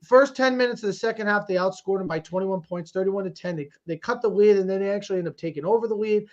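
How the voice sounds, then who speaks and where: A high-voiced man races through casual sports talk as a podcast co-host. He is emphatic and exclamatory, often repeating himself to drive a point home. The sound is slightly dull and lo-fi. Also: quick pace.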